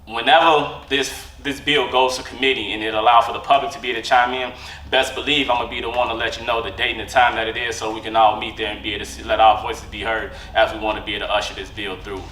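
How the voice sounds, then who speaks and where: A man's voice: fairly low pitch, flat delivery, quick pace, bright, forceful tone, slight background noise. A man hosting a podcast in an earnest, casual way, filling gaps with "you know" and "uh". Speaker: podcast host and farmer. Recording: echoey room, far from mic.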